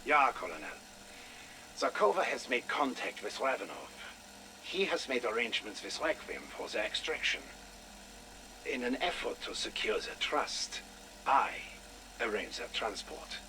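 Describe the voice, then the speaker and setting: Sinister, animated German doctor. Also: male voice, lowish voice, a little slow, long pauses. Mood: smug, deferential. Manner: theatrical, menacingly polite, savouring his words. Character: sinister German doctor and torturer, gloating Soviet operative. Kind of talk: video game audio log acting. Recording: noisy radio-style audio log.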